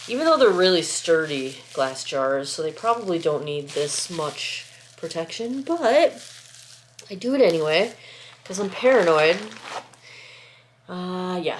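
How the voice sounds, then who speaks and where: A woman's voice: fairly low pitch, slight background noise. A woman chatting casually while she packs skincare orders, sniffly and congested from a lingering cold, rambling and often cutting herself off to apologise. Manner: rambling, self-interrupting, apologetic. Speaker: small-business skincare maker. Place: at home, indoors, packing table. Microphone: camera set close by.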